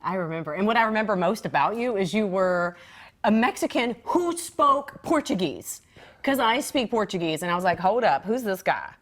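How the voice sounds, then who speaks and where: A woman hosting an interview show, asking her questions in an enthusiastic and expressive way. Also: medium pitch, normal speed. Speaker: show host.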